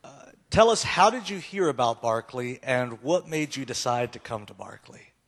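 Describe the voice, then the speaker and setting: A man's voice: medium pitch, animated, normal speed, fairly bright tone. A man hosting a student panel in front of an audience, loose and hesitant with many fillers. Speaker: host. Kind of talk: casual emcee Q&A.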